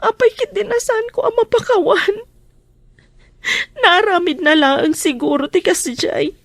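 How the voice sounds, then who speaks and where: A woman's voice: very high-pitched, a little slow, dry recording. A woman acting a sorrowful, betrayed wife in a radio drama, plaintive and hesitant, with an Ilocano accent. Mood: sad, resigned. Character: Roxanne, sorrowful betrayed wife, meek and pleading.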